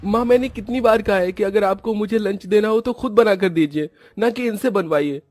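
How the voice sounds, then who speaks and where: Man putting on a high, whining schoolboy. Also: a little fast, darkish tone, no echo, lightly acted. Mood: angry, whining. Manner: whining, accusing. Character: sulky, scornful schoolboy younger brother.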